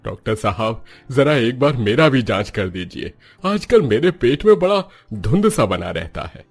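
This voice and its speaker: A man voicing a hearty, gluttonous elderly father-in-law with a husky voice in a cartoon story. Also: mid-range voice, big pitch swings, unhurried, soft background music, exaggerated voice. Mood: complaining.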